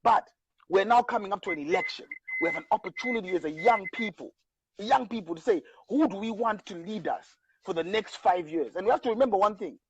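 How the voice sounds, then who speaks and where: Fast-talking emphatic Zimbabwean man, husky voice. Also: high-pitched, muffled recording. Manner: stammering restarts, emphatic and fired up. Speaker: show host. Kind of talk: casual political discussion.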